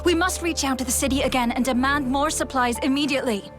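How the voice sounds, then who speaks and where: A woman playing a harried, demanding military supply officer in a video game, brisk and commanding. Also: sits high, lightly acted. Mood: urgent, impatient. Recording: music playing behind the voice.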